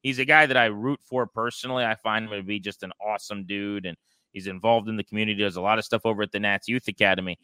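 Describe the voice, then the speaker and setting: A man's voice: on the low side, fairly even intonation, fast talker, sharp tone, smooth, dry recording. An American man hosting a sports podcast, talking through baseball in a conversational way.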